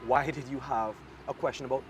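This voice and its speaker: A man's voice: mid-range voice, fairly even intonation, brisk, a little hiss or hum. A man, interviewing an author outdoors in a park, affirming and encouraging. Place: outdoors in a park, rain falling.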